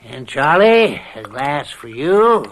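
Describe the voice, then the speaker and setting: An actor playing a scheming, controlling older farm uncle with drawn-out, falsely kindly wheedling in an old radio mystery drama. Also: male voice, a little high, big pitch swings, a bit bright, a little hiss or hum, full character voice. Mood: oily, coaxing.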